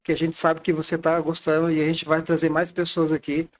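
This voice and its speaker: A man's voice: on the high side, completely flat delivery, brisk. A man in his twenties hosting a religious podcast, chatty and loose in manner. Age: 20s. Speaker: podcast host. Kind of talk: casual religious podcast chat.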